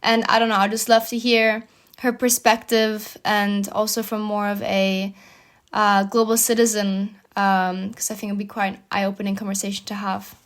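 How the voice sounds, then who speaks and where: Female student guest, 20s, from Germany, flat even delivery, earnest and a little hesitant. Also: medium pitch, moderate pace. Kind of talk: interview answers.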